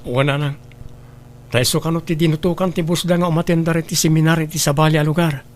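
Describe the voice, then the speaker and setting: An actor plays a caring husband in a radio drama. His voice is somewhat high for a man, and he speaks in a trusting, concerned way. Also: steady tone, fast, faint music behind, close to an everyday voice. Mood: explaining. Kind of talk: Ilocano radio drama acting.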